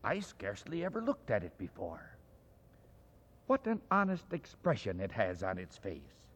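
A man reading aloud and voicing an elderly male miser, giddy with joy, with a husky voice and breathless exclamations and chuckles. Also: lowish voice, wildly swooping pitch, moderate pace, fairly bright tone, in a large hall, pitched below the performer's own voice, full character voice. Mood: chuckling tenderness.

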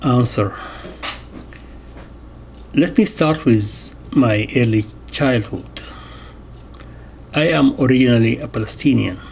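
A man reading an interview transcript aloud in a measured way, announcing each question and answer. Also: medium pitch, gravelly, slightly rough. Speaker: voice-over reader of an interview transcript.

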